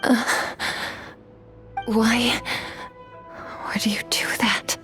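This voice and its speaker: A woman's voice: a little high, little pitch movement, drawn-out words, whispered. An actress playing a stoic, determined young swordswoman in a video game, cool, restrained and serious. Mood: subdued, questioning. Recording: long reverb, faint game music.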